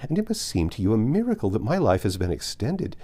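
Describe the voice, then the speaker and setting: A man voicing a gently teasing mother of forty in a period novel, with formal, genteel speech. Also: lowish voice, huge pitch swings, quick pace, pitched above the performer's own voice. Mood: amused.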